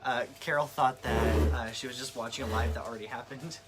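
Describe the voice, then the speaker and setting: A woman's voice: a bass voice, steady tone, a little fast, over music. A woman co-hosting a casual live stream, talking informally with viewers and adding wry asides. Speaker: live stream co-host reading viewer comments. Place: craft store. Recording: clearly noisy background with clicks.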